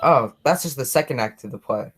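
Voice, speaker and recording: A man's voice: mid-range voice, expressive, a little fast, fairly bright tone, breathy edge. A young man playing a chatty, oblivious policeman who dreams of writing plays, in a radio drama. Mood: eager, self-absorbed. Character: chatty, oblivious policeman and eager amateur playwright. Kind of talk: radio play acting.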